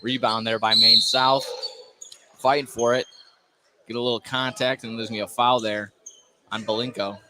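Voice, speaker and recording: A man's voice: mid-range voice, flat delivery, normal speed, long pauses, pushed and bright. A man doing live play-by-play basketball commentary for radio, sometimes stumbling and correcting himself mid-call. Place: indoor basketball gym. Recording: noisy live gym broadcast. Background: clear background noise, bouncing basketball.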